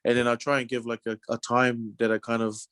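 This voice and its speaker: A man's voice: mid-range voice, level tone, fairly quick, fairly bright tone, clear, resonant tone, dry recording. Casual New Zealand man, close dry sound. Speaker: real estate agent. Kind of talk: casual, conversational sales talk.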